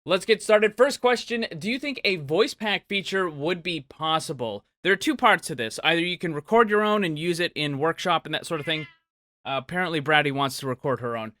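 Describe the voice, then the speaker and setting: Male gaming host, upbeat commentary, forceful bright voice, very dry close sound. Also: on the high side, lively intonation, brisk, no echo. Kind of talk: upbeat video commentary answering viewer questions.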